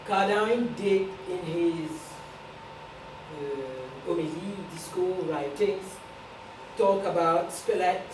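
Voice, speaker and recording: Lowish-voiced woman, echoey room. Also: distant mic. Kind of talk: announcement and remarks to a gathering. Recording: echoey, noisy room from afar.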